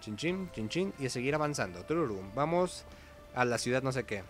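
A man's voice: mid-range voice, sing-song, normal speed, faint music behind. A man with a Mexican Spanish accent giving casual, chatty gameplay commentary in informal slang as host of a gaming video. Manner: informal, chatty, hums little sound effects.

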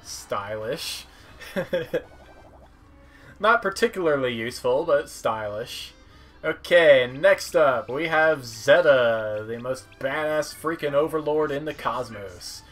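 A man's voice: medium pitch, lively intonation, slow pace, fairly bright tone. Casual man commentating over game music. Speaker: game streamer. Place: small room at home, indoors.

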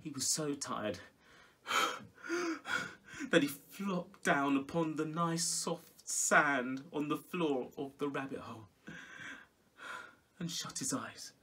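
A middle-aged man telling a children's story in a theatrical, expressive way. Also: a little high, slow pace, long pauses, breathy edge. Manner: theatrical, expressive, with hushed whispers and exclamations. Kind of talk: animated storybook reading. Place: small home music room indoors.

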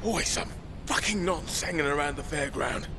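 A man's voice: on the high side, moderate pace, noisy background, lightly acted. An actor playing a cheeky, sardonic British male survivor in a video game, wisecracking in slangy, theatrical fashion. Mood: taunting. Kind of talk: video game cutscene voice acting. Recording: music behind the voice.

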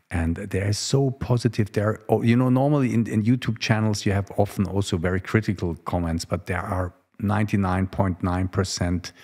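Very deep-voiced man hosting a casual conversation. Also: darkish tone. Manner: halting, repeats words. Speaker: host. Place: home studio room. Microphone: close broadcast microphone on boom arm.

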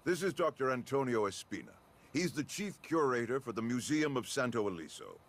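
A man's voice: mid-range voice, expressive, brisk. An actor playing a no-nonsense male chief of a security company in a video game, authoritative, clipped and dry. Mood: brisk, approving. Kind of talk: video game cutscene dialogue.

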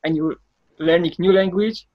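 A young man from Poland with a Polish accent, a podcast guest speaking halting English over a video call, with frequent fillers and laughs. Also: high-pitched, steady tone, no echo, highs cut off. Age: young adult. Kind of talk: casual chat.

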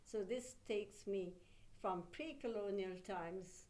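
A woman's voice: medium pitch, darkish tone. Older Anglo-Burmese woman, reflective storyteller. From Burma. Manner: unhurried, reflective storytelling with pauses. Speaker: author. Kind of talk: interview answers. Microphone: table mic on a stand.

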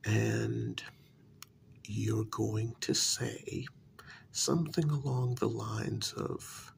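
Man acting apologetic talent agent, ASMR role-play, low soft voice, heavy vocal fry, breathy, hesitant. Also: a little hiss or hum, exaggerated voice. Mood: persuasive, gentle. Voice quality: breathy, half-whispered, gravelly. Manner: slow, hesitant, halting. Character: apologetic, easily flustered male talent agent for supernatural creatures.

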